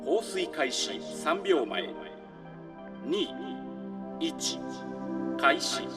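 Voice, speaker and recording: A man's voice: on the high side, very animated, drawn-out words, far from the mic, over loud music, exaggerated voice. A man playing a polite, formal public-address announcer in an anime audio drama. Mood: procedural. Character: public-address announcer of a high-tech kingdom.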